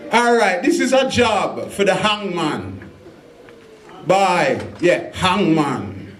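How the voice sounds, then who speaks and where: A poet from England with a Birmingham Jamaican patois, bantering with the crowd before a spoken word set. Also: male voice, high voice, echoey room.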